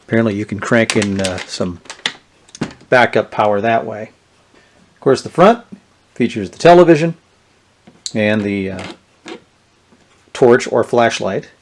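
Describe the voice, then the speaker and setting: A man's voice: on the low side, measured pace, pauses often. A man giving a casual, unscripted hands-on product walkthrough in a plainspoken, explanatory manner. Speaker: gadget reviewer. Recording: clear background noise and clicks.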